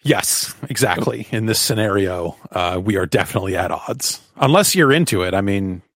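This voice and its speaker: A man's voice: on the low side, animated, normal speed, fairly bright tone, no echo, studio quality. A man hosting a podcast in casual comic chat, riffing jokingly and swearing freely. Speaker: podcast host.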